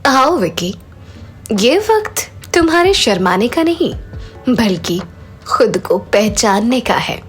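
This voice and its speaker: A woman's voice: on the high side, sing-song, exaggerated voice. A woman playing a seductive, patient wife who teaches in a coaxing way, in a Hindi audio drama. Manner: slow, breathy, coaxing delivery. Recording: music behind the voice.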